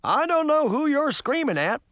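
A man's voice: high voice, sing-song, a little slow, fairly bright tone, no room sound, studio quality, pitched above the performer's own voice, full character voice. A man voicing a portly male ex-policeman in a storybook, a firm leader who scolds and commands. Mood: angry.

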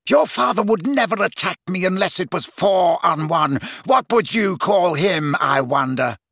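A man voicing a furious, sneering adult wizard, shouting with contempt and command in an audiobook. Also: high voice, expressive, a little slow, creaky, dry recording, clean studio sound, pitched above the performer's own voice, exaggerated voice. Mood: outraged, taunting.

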